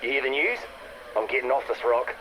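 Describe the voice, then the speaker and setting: A man acting as a cocky male worker in a video game, boasting and taunting. His voice is middling in pitch and brash, on a faintly noisy, radio-like recording. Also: expressive, brisk, natural voice. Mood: smug. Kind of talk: acted game dialogue.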